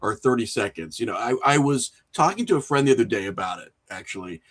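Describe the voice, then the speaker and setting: A man's voice: fairly low pitch, lively intonation, fast talker, fairly bright tone, husky. Reflective middle-aged man chatting informally. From small rural town. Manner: informal, anecdotal, chuckles through remarks. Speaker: podcast guest, horror filmmaker and writer. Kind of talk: casual, reflective conversational interview.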